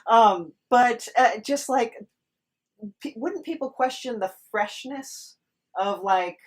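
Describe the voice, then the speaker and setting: A woman hosting a podcast in casual, humorous conversation, speaking in a wry, halting way. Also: a little high. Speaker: podcast host.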